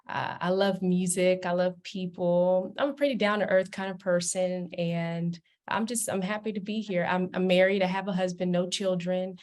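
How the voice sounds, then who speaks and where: A woman from Kenya who sings and arranges music, introducing herself warmly and laughing easily on a video call panel. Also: fairly low pitch, fairly even intonation, normal speed, hardly any pauses. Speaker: singer and songwriter guest.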